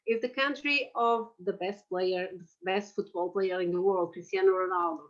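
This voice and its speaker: A woman's voice: medium pitch, moderate pace, highs cut off. A woman from Portugal in her forties with a Portuguese accent, giving a lecture on oral surgery with hesitant phrasing and frequent fillers. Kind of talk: online lecture presentation.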